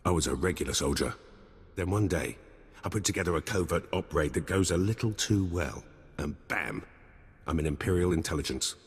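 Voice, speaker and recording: An actor playing a cocky, scheming intelligence captain in a video game, breezy, sardonic and theatrical. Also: male voice, deep voice, brisk, slightly rough, big-room sound, heavily acted. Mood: amused, confiding.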